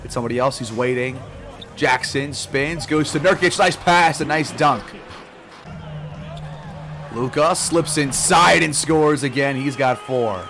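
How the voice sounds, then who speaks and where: A slightly hoarse man talks through a basketball video game in a loose, easygoing way, with plenty of ums and uhs. His pitch sits mid-range for a man. Game music plays clearly under his voice. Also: measured pace. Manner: relaxed, filler-heavy talk, louder and livelier calling plays. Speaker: gaming YouTuber. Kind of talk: casual gameplay commentary.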